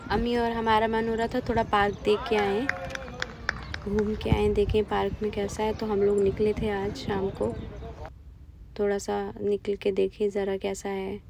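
A woman's voice: medium pitch, completely flat delivery, moderate pace, gravelly. A woman casually chatting to camera in a walking vlog, pointing out places around her neighborhood as she goes. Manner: chatty, plainly pointing things out while walking. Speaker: vlogger. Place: outdoors on city streets. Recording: noisy street with traffic.

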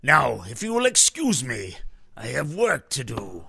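An actor playing a pompous, dismissive theatre director with a grandiose, emphatic way of talking. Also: male voice, mid-range voice, huge pitch swings, unhurried, gravelly, sharp tone, a little hiss or hum, heavily acted. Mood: indignant.

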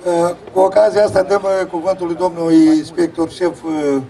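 Male event MC, high creaky voice, informal introductions, distant outdoor pickup. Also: little pitch movement, warm tone. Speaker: master of ceremonies. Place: open-air fairground stage. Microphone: distant camera, speech over loudspeakers.

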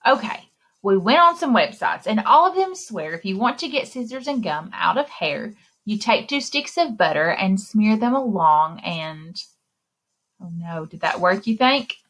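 A woman in her thirties reading a picture book aloud to children in an expressive, exclaiming way, speaking directly to her young listeners, with a slightly husky voice. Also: medium pitch, unhurried, low-quality recording. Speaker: children's librarian and story time reader. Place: indoor room, recorded screen share with webcam.

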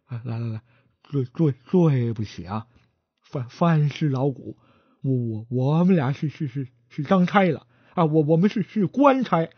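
A man's voice: on the high side, expressive, slightly rough, no echo, highs cut off. A man voicing a timid stuttering constable who trips over every word. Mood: nervous. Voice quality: heavy stutter, halting repeats. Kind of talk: traditional storytelling, acted dialogue.

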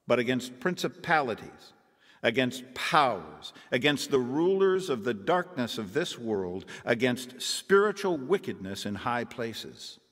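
Earnest, animated missionary man. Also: mid-range voice, in a large hall, heavily acted. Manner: earnest, preachy. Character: earnest reformed man turned missionary, telling his testimony. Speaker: lead actor and narrator in a radio drama. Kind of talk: dramatized first-person testimony, radio drama narration.

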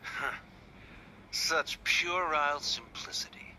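A man playing a cold, proud scholar and stern father in a video game, aloof and deliberate. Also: on the high side, sharp tone, whispered, exaggerated voice, rough recording. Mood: disdainful, softening. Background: game music.

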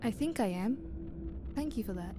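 A woman's voice: a little high, expressive, moderate pace, background noise, close to an everyday voice. A woman playing a bewildered, innocent amnesiac stowaway in a sci-fi audio drama, hesitant and naive in her speech. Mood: curious.

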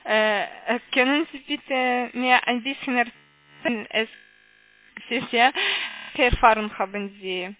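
Female telesales agent, foreign accent, high pitch, slow hesitant delivery, thin phone-line sound. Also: steady tone, soft background music. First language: not German. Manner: halting, hesitant, often checking with "ja". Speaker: phone sales agent for an investment firm. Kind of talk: scripted sales call. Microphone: telephone. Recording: muffled narrow phone line.

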